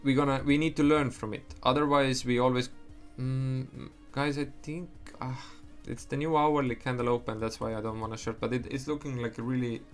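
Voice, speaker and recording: Young estonian guy chatting on stream, mid-pitch voice, rambly with a lot of swearing, faint music behind. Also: steady tone, fairly quick, hardly any pauses. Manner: rambling, swears, frequent restarts. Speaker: livestream trader. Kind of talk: casual livestream chat.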